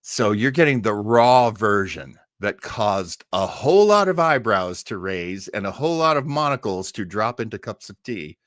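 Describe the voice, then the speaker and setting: Casual male web-show host, jokey and loose, with very animated intonation. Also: lowish voice, normal speed, hardly any pauses, no echo, clean studio sound. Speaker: host of an old-time radio web show. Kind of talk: casual livestream chat reading viewer comments.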